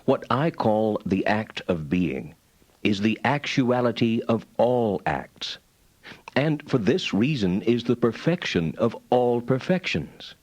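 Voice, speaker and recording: Slow, solemn medieval philosopher. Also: male voice, mid-range voice, very animated, darkish tone, dry recording. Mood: solemn, assured. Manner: solemn, measured, declamatory. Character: solemn male medieval philosopher-theologian expounding his doctrine. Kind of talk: dramatized reading of philosophical writings.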